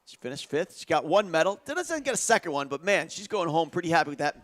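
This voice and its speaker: Higher-pitched man calling a track race. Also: very animated, fast talker, a bit bright. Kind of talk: live sports commentary.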